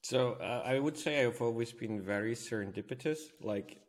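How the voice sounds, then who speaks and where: A man's voice: medium pitch, moderate pace. A man from Switzerland speaking as an interview guest, giving thoughtful, conversational explanations. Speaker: podcast guest, robotics company founder.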